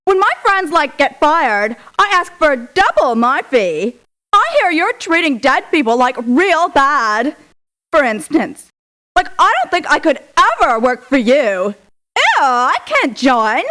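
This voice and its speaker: Young valley girl mercenary for a game: whiny, ditzy, very high, animated, American Valley accent. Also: slow, heavily acted, rough recording. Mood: whiny, affronted. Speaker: video game character voice. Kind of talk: theatrical video game voice clips.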